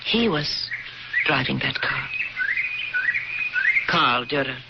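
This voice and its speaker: A woman's voice: very deep voice, slow pace, gravelly, full character voice. An actress playing a nervous married woman shaken after a car crash, in a 1970s radio drama, her voice tremulous. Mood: haunted. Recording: lo-fi old radio broadcast with hiss.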